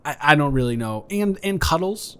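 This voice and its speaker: A man's voice: on the high side, animated, fairly bright tone, clear, resonant tone. Man playing a flustered, flirty neighbor with swooping intonation. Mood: bashful, hopeful. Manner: stammering, halting, playfully awkward, earnest. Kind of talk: improvised romantic roleplay audio.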